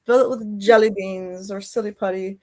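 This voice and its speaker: A woman's voice: mid-range voice, steady tone, normal speed, dark tone. A woman chatting casually and playfully as a livestream host.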